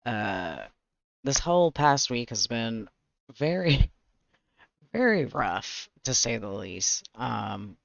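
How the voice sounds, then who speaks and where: A man with a slightly raspy voice chatting casually on a livestream, rambling and pausing to search for words. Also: mid-range voice, very animated, fairly bright tone, no room sound, highs cut off. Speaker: streamer, webcomic artist. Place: indoors, livestream.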